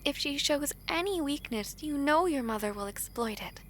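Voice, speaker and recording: Woman playing a high-voiced, gentle young princess. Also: animated, a little hiss or hum. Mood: reassuring. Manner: soft, formal, measured diction with tender pauses. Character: gentle, earnest young foreign princess, ill and devoted. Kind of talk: romantic fantasy audio drama.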